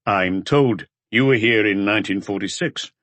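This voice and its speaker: A calm, methodical police chief in a detective audiobook, played by a male reader. The voice is low and dry, putting short, probing questions. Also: moderate pace, no room sound, lightly acted.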